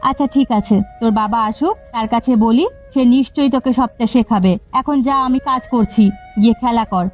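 একজন নারী কার্টুনে এক ব্যস্ত, খিটখিটে গ্রামের মায়ের চরিত্রে ধমকের সুরে কথা বলছেন।. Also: female voice, a little high, a little fast, darkish tone, close to an everyday voice. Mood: relenting, brisk. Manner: snappish, scolding. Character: irritable, busy village mother. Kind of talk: Bengali cartoon acting. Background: background music.

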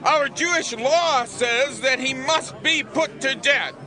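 Stern accuser priest, piercingly bright and slow, deliberate and strident, for scripture drama. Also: male voice, very high voice, a faint crowd, heavily acted. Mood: accusing.

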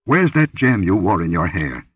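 A blunt barbarian warrior who grunts his commands without patience, played by a man reading a storybook. The voice is very low and husky, with a dry, muffled and slightly distant sound. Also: big pitch swings, moderate pace, heavily acted. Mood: gruff.

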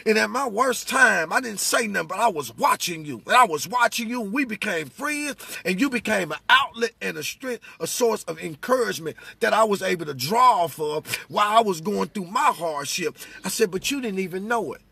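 A middle-aged American man with a high, gravelly voice and a husky edge. He preaches conversationally, testifying emphatically and repeating his points for weight. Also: expressive, normal speed, few pauses, bright, forceful tone, no room sound. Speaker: religious speaker. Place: inside a parked vehicle.

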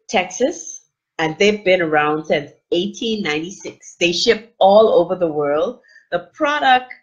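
A woman's voice: mid-range voice, slightly rough. A middle-aged woman hosting a casual live unboxing from a small room, gushing and exclaiming over what she shows, with many fillers. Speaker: livestream host. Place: small indoor room with plain wall. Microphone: webcam at desk distance. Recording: dull lo-fi webcam audio.

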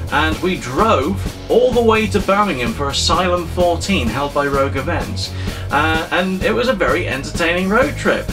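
A man in his thirties tells a meandering story to camera in a small indoor room, full of uhs and self-deprecating asides. He sounds a little distant from the mic, and guitar music plays clearly behind him. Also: medium pitch. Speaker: vlogger.

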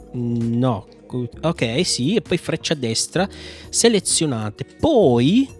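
A man giving a casual screencast tutorial, thinking aloud in a jokey, rambling, self-mocking way. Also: medium pitch. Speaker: tech YouTuber presenting a software tutorial.

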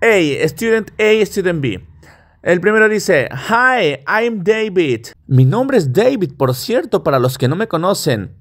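High-voiced, animated Peruvian man teaching. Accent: Peruvian Spanish. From Peru. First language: Spanish. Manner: slow, deliberate modelling of phrases. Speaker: English teacher, online course host. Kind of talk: step-by-step language lesson with repetition drills.

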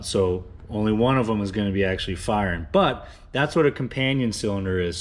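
A man in his thirties explaining engine work in a casual workshop tutorial, plain-spoken with frequent uhs and restarts, recorded in a home garage. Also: fairly low pitch. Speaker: engine builder explaining cam timing. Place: home garage with cars and a car lift. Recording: echoey garage.

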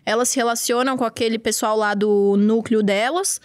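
A woman's voice: a little high, steady tone, moderate pace, dry recording. A young woman with a São Paulo Brazilian accent, talking frankly and casually as a podcast host, close on a studio mic. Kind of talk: casual conversation. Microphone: close studio mic on boom arm.

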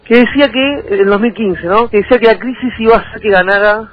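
A young man with a high voice and a Rioplatense Argentine accent speaks as an interview guest over a thin phone-like line. He halts, repeats and rephrases as he builds his points. Music plays faintly behind him. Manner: halting, repetitive, circling back to rephrase. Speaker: interview guest, local political candidate. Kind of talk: conversational political interview.

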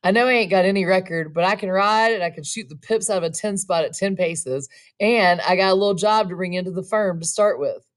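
A woman's voice: on the low side, brisk, close to an everyday voice. A woman reading aloud, voicing a nervous, eager young male cowboy with a put-on Southern accent. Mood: earnest.

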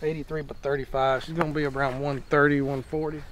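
A man's voice: fairly high pitch, level tone, quick pace. A man coaching casually during fishing in short, repeated phrases, outdoors on a boat. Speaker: fishing companion or guide. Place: outdoors on a small river boat. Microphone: camera mic at a distance. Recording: distant mic, loud boat and outdoor noise.

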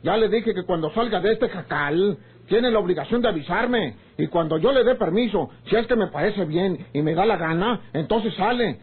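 Domineering rustic Mexican husband, high-pitched, tinny. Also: male voice, animated, moderate pace, husky, full character voice. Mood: bossy, irritated. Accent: rural northern Mexican. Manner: bossy, folksy rustic speech. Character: domineering, hot-tempered rural peasant husband, jealous and menacing. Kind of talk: old Mexican radio drama acting. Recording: tinny, band-limited old radio serial.

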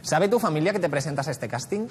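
A man's voice: a little high, animated, quick pace, long reverb tail, a little hiss or hum. A man interviewing inmates at a casting, asking friendly, probing questions. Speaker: choir director interviewing inmates.

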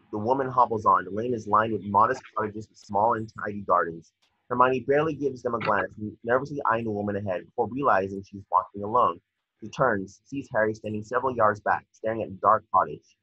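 A man narrating scripted stage directions at a table read, measured with small stumbles, over a video call. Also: fairly low pitch, sharp tone, husky, no room sound. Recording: dull lo-fi video call audio.

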